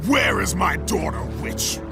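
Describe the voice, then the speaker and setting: An actor playing a proud, tormented and defiant Spartan warrior in a video game, forceful and declamatory, with loud music behind him. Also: male voice, sits high, animated, a little slow, gravelly, heavily acted. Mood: angry, bitter. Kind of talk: dramatic video game acting.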